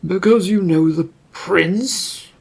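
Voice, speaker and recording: A big tusked orc captain, acted out by a story reader, gruff and stern. He speaks slowly and confrontationally, with a husky, creaky voice in a fantasy audio tale. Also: medium pitch, pitched above the performer's own voice, exaggerated voice. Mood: gruff, dismissive. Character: large tusked orcish male captain, stern, gruff, loyal.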